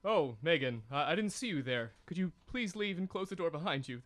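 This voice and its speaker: A man's voice: a little high, animated, a little fast, a bit bright. A young man playing a guilty, lovesick, self-pitying businessman with melodramatic radio soap delivery. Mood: guilty, weepy. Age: young adult. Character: weepy, guilty, lovesick businessman Uncle Monty. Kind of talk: campy radio soap-opera acting. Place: small radio studio.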